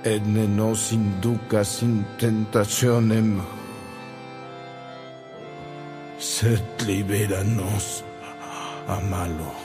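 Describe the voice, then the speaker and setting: Frail old bishop, real low slow voice, gentle and prayerful, radio drama w music under it. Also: male voice, steady tone. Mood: solemn prayer. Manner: solemn, prayerful, effortful delivery. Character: ailing old bishop, devout, gentle and weary. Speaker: actor.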